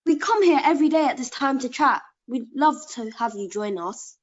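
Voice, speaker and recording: An eager young gossip acted by a woman in a biblical audio play. Her high, animated voice is curious, naive and excitable. The recording is a little muffled. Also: normal speed.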